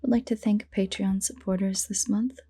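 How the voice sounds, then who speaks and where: Mellow woman giving a casual outro. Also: mid-range voice, steady tone, normal speed, slightly rough. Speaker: audio roleplay performer. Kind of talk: casual outro thanking listeners.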